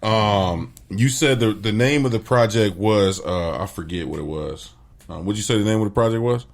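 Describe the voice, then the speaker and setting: A man's voice: on the low side, moderate pace. Slangy, stammering young male host. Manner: friendly slangy talk, stammers, repeats words. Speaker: podcast host. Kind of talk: casual interview chat.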